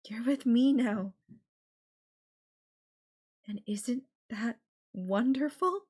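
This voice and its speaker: A woman's voice: fairly high pitch, very slow, a little mellow, husky, heavily acted. A woman voicing a possessive, sweetly menacing yandere girlfriend in an intimate audio roleplay, coaxing the listener with affection and veiled threats. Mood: smug, possessive.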